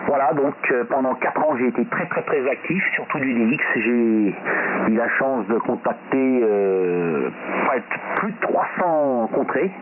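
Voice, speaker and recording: A man's voice: medium pitch, lively intonation, unhurried. Frenchman over a noisy, tinny radio link. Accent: French (France). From France. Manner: frequent "hein" and "voilà" tags. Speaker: amateur radio operator. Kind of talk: casual radio chat. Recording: phone-like shortwave radio link, heavy static. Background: radio noise.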